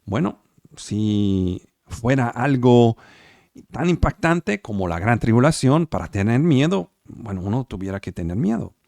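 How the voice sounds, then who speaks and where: A man's voice: mid-range voice, very animated, a little slow, vocal fry, a little mellow, no room sound, clean studio sound. A man preaching and teaching the Bible over a video call, speaking Spanish as a second language with emphatic repeated words and frequent check-ins with his listeners. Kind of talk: online sermon and Bible study. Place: home, online service.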